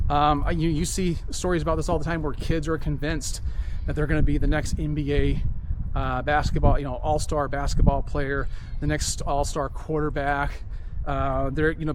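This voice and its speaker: Man, fairly high voice, reflective walk-and-talk, repeats himself, outdoor recording with faint noise. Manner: rambling, earnest, with restarts and repeated phrases. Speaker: vlogger. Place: outdoors, walking.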